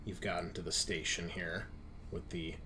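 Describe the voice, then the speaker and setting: Game master, male: low voice, informal and chatty, faint room noise behind. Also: fairly even intonation, normal speed. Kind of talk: casual chat and narrating a tabletop game.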